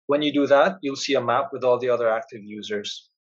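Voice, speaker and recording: Guy doing a screencast how-to, slightly raspy voice, plain instructions, kinda muffled lo-fi mic. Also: mid-range voice, a little fast. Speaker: software tutorial presenter. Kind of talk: step-by-step screencast narration.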